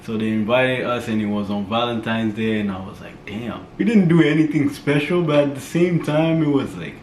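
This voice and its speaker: A man telling a story in a relaxed, reflective way during a casual vlog chat. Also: medium pitch, normal speed. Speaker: YouTube vlogger. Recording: echoey room with background noise.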